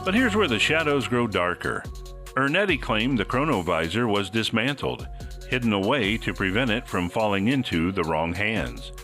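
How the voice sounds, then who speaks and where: A man's voice: lowish voice, expressive, moderate pace, music in the background. A man hosting a podcast, reading scripted mystery narration in a suspenseful, theatrical manner. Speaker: podcast host.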